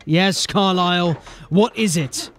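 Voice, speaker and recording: A man playing a determined, embattled police sergeant in a dramatic audio drama, speaking earnestly and deliberately. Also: high voice, fairly bright tone, slight background noise. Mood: guarded, wry.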